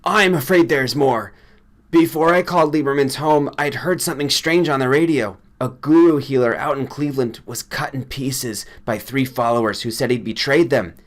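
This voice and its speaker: A man's voice: medium pitch, moderate pace, pitched above the performer's own voice, lightly acted. A man playing an agitated male colleague in an audiobook, urgent and raised in voice. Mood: alarmed. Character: agitated male colleague bringing grim news.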